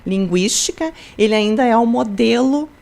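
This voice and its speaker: A woman's voice: fairly high pitch, moderate pace, vocal fry, a little mellow. Brazilian woman explaining at length. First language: Portuguese. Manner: explanatory, frequent "né?" tags and restarts. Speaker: school principal. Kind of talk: interview answers.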